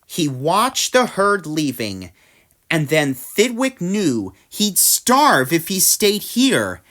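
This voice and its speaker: A woman reading a rhyming storybook aloud, with dramatic, deliberate phrasing. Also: lowish voice, sing-song, fairly bright tone. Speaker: story reader. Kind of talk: expressive read-aloud storybook narration in rhyme.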